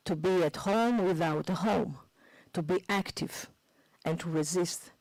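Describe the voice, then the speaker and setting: Low-voiced woman giving a halting talk. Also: a little mellow, no echo. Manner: halting, searching for words with many fillers. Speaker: speaker presenting a street work organisation. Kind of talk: formal presentation with a read-out closing text. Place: press club seminar room.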